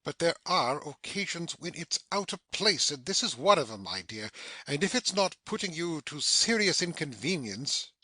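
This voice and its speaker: An actor playing a blustering, self-important middle-aged family friend in an audio stage satire, with a pompous, indignant way of talking. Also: male voice, fairly high pitch, big pitch swings, moderate pace, sharp tone, no echo, heavily acted, rough recording.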